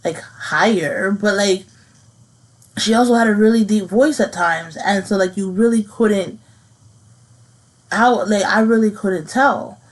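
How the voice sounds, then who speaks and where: A woman in her thirties hosting her own podcast, speaking earnestly and haltingly about something personal, repeating phrases as she works through it. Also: medium pitch, low-quality recording. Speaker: podcast host. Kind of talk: personal reflective monologue.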